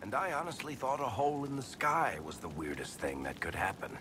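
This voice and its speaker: An actor playing a wry, wisecracking rogue writer in a fantasy video game, dry and conversational, with noise behind. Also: male voice, mid-range voice, sing-song, fairly quick, lightly acted. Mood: amused, scheming. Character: wry, sardonic roguish male storyteller, grudgingly good-natured. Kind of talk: cinematic game dialogue acting. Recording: background noise and ambience.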